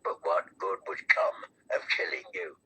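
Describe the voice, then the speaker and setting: A man voicing a sly, mocking wildling in a fantasy audiobook, with a low, gravelly, taunting delivery. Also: pushed and bright, low-quality recording. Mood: menacing. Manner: taunting, unhurried. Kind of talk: fantasy audiobook character reading.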